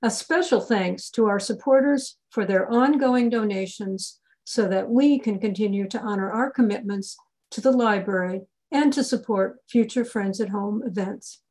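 An older woman delivers a prepared welcome as the head of a library group. Her pitch is mid-range for a woman. She speaks over a video call, introducing an online event. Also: moderate pace, a little mellow, low-quality recording. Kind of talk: scripted welcome announcement.

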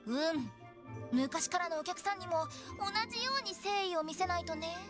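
A woman's voice: very high voice, over loud music. A woman voicing an eccentric, playful teenage girl funeral director in an anime game, theatrical and teasing. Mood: cheerful, scheming. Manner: theatrical, teasing, switching to measured solemn explanation.

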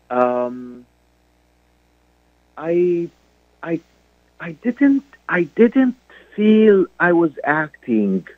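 A middle-aged man with a Syrian Arabic accent tells his story at an unhurried pace, pausing and restarting often. His voice reaches the show through a narrow, tinny phone line with no room sound. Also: fairly high pitch, dry recording. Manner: slow, halting, many fillers and restarts. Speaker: guest actor. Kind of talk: radio interview. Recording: dry phone-line call.

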